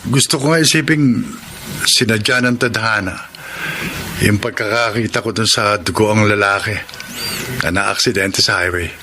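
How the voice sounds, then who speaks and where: A man's voice: on the low side, moderate pace, background noise, natural voice. An actor playing a remorseful father. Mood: reflective. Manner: earnest, emotional, reflective. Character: remorseful working father, a delivery driver. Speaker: radio drama actor. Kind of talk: classic radio drama acting. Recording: radio drama with music underneath.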